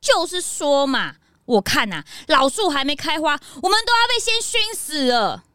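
A woman playing a perky, outspoken young detective's assistant with an expressive delivery in a children's audio drama. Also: high-pitched, moderate pace, no echo, clean studio sound, heavily acted. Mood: exasperated. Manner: expressive, complaining, emphatic.

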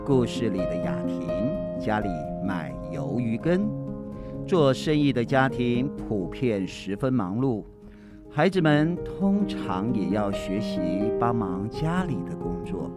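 Slow, expressive man addressing children. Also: mid-range voice, loud music behind the voice. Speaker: host giving closing commentary.

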